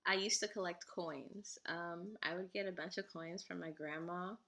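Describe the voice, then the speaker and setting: A woman's voice: lowish voice, fairly even intonation, moderate pace, pushed and bright. A young woman whose first language is Igbo, chatting casually to camera with frequent giggles and fillers. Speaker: vlogger.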